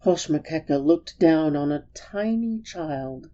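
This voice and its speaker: A woman reads a story aloud with drama. Her voice is low and soft-edged, and she takes her time over each phrase. The recording sounds a bit dull. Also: animated, slow.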